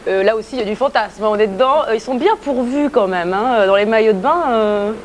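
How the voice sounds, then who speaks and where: A woman's voice: fairly high pitch, a little fast, a little hiss or hum. A woman co-hosting a TV talk show, asking direct, cheeky questions. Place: pink TV studio set.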